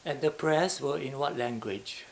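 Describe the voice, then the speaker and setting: A man's voice: mid-range voice, unhurried, vocal fry. A man interviewing, asking short leading questions. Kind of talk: oral history interview. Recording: faint hiss.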